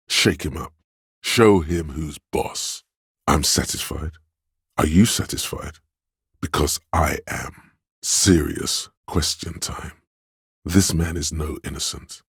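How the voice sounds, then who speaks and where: A man's voice: a bass voice, slightly rough, dry recording, clean studio sound, exaggerated voice. A man playing a commanding, pompous inner voice of authority in a video game, speaking in stern, declamatory pronouncements. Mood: commanding, urgent. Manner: declamatory, imperious, clipped pronouncements. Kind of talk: scripted video game narration and voice acting.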